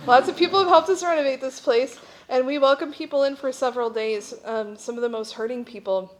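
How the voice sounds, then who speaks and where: A woman's voice: sits high, fairly quick. A middle-aged woman speaking as a missionary at a church breakout session, explaining things in an informal, conversational way. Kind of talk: informal conference presentation.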